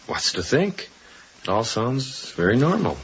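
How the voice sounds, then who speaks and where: An actor playing a skeptical, unimpressed psychiatrist with a dismissive, matter-of-fact manner in a radio drama. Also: male voice, medium pitch, very animated, a little slow, crowd noise. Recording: dull, noisy 1980s radio broadcast.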